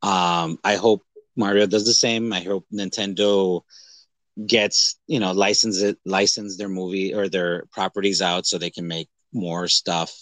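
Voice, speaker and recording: A man talks as a podcast co-host in a dry, close recording. He rambles through casual movie chat with animated, exaggerated reactions. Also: low voice, measured pace, no room sound.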